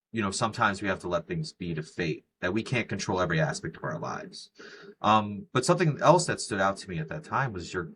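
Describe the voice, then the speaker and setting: A man with an American accent and a very low voice conducts a casual interview. He talks effusively and loosely, circling around his thoughts with plenty of filler phrases. There is some background noise behind him. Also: fairly bright tone. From United States. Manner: effusive, rambling, filler-heavy. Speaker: podcast interviewer.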